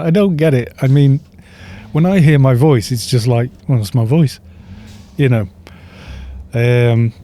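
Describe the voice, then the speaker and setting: A man chatting casually on a game livestream, soft-spoken and rambling, with little chuckles, into a studio microphone at home. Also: mid-range voice, a little hiss or hum. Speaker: livestream gamer. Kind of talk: casual chat while gaming, reading chat.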